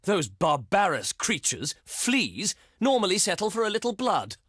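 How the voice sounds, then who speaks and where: An actor playing a haughty, contemptuous Roman senate diplomat in a strategy game, speaking in a pompous, theatrical, oratorical way. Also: male voice, high voice, huge pitch swings, a little slow, a bit bright, slightly rough, no room sound, clean studio sound, exaggerated voice. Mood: scornful, outraged.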